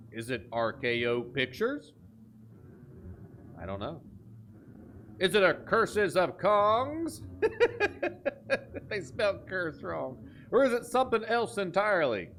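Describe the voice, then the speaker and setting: A man hosting a horror reaction video, talking casually and enthusiastically, joking around and reading captions aloud. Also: fairly high pitch. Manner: animated, jokey, enthusiastic.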